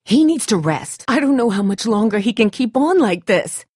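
A woman's voice: on the high side, big pitch swings, moderate pace, a little mellow, slightly rough, no room sound. A woman voice actor playing a guarded, blunt, protective young survivor of a zombie apocalypse in a video game, with a dry delivery. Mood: urgent, frustrated.

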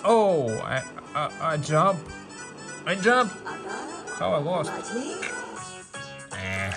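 A man's voice: on the high side, big pitch swings, drawn-out words, creaky. A man who speaks as a gives casual mobile game commentary in short fragmented phrases, often repeating words. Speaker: gaming video host. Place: indoor room, playing on a tablet. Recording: music playing behind the voice. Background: game music.

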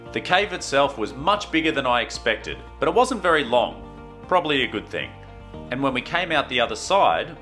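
A man's voice: mid-range voice, sharp tone. A man narrating his travel vlog in an enthusiastic, storytelling voice-over. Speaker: travel vlogger. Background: faint guitar music.

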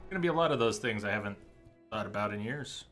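Musing male game streamer over soft music. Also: on the low side, expressive, quick pace. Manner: musing, thinking aloud. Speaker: game livestreamer. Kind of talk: casual live game commentary, chatting with viewers. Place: indoor streaming setup. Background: game music and ambience.

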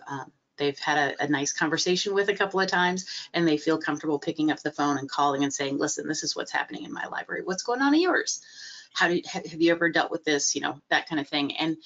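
Low-voiced woman with a cold on a video call. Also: fairly bright tone. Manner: hesitant, many fillers and restarts. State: recovering from a cold, a little stuffy. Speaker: guest speaker, library trainer. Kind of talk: webinar talk. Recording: muffled video-call audio.

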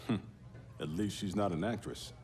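A man's voice: deep voice, normal speed, a little mellow, a little hiss or hum. An actor playing a wry, laconic soldier and father in a video game. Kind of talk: video game cutscene acting.

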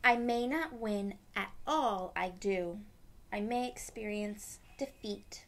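A young woman reading a picture book aloud to children, gently explaining it in simple words. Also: mid-range voice, slow pace. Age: young adult. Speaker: read-aloud storyteller for children. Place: small room at home, indoors.

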